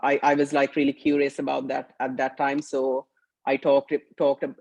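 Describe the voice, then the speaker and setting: A man's voice: mid-range voice, completely flat delivery, moderate pace, vocal fry, darkish tone, dry recording. A young man, a student panelist, describing his experience hesitantly over a video call, with many "sort of" and "uh".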